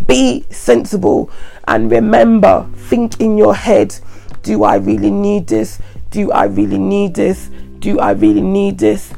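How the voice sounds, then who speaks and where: A woman, croaky from a head cold, giving chatty, repetitive money advice straight to her viewers. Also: medium pitch, warm tone. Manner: chatty, repetitive, earnest warnings. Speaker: vlogger. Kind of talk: casual advice monologue to camera.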